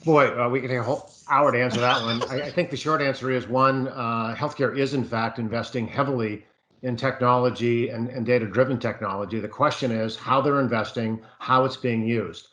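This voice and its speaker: A man's voice: mid-range voice, steady tone, brisk, a bit bright, a little hiss or hum. A man speaking as a panelist on a video call, explaining his views at length with frequent fillers. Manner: expansive, thinking aloud with many fillers. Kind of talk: virtual panel discussion.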